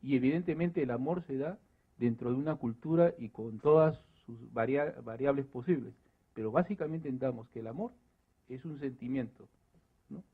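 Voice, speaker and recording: A husky man of medium pitch gives analytical commentary as a debate panelist. He explains point by point in a measured way, and the sound is thin and phone-like. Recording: narrow, phone-like debate recording.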